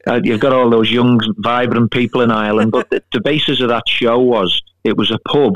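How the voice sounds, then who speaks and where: A man's voice: mid-range voice, fairly even intonation, fairly quick, highs cut off. A middle-aged man from Liverpool with a Scouse accent, telling stories as a guest in a radio interview, full of fillers. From Liverpool, England. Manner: chatty anecdotes, many fillers like "sort of". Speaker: guest, writer and performer.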